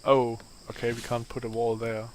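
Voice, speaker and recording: A man with a raspy, tired voice giving casual gameplay commentary, thinking aloud as he plays. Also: medium pitch, completely flat delivery, slight background noise. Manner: slow, thinking aloud while playing. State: voice strained from a long day of talking.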